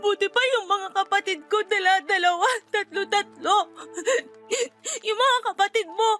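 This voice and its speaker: A woman's voice: extremely high pitch, fairly even intonation, normal speed, sharp tone, soft background music. A woman playing an anguished, fearful wife who longs for a baby, speaking in a tearful, pleading voice in a radio drama. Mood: bitter, tearful. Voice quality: tearful, sobbing voice. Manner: pleading, lamenting. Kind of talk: Tagalog radio drama acting.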